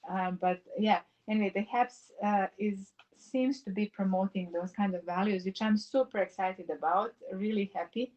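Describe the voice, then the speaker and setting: A woman, a live-streaming artist chatting casually while she paints, musing in wandering sentences with many pauses. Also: medium pitch, steady tone, darkish tone, highs cut off. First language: Serbo-Croatian. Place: home in the mountains.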